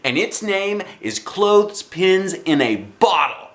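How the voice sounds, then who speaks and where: A man's voice: high-pitched, sing-song, slow, fairly bright tone, voice pushed up, full character voice. A man voicing a dramatic, stubborn young schoolgirl in a children's storybook, with emphatic, childlike delivery. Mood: eager. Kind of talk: children's storybook read aloud.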